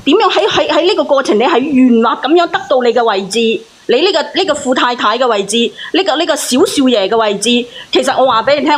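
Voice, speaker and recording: High-pitched, fast-talking insistent woman. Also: breathy edge. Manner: insistent, repeats herself for emphasis. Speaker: panelist on a call-in advice show. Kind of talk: casual heated advice chat.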